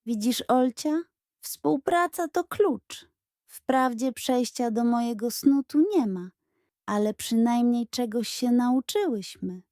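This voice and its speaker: A woman's voice: fairly high pitch, takes it slowly, dark tone, no echo, studio quality. A woman voicing a kindly, wise female giraffe in a children's audio story. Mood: proud, wise.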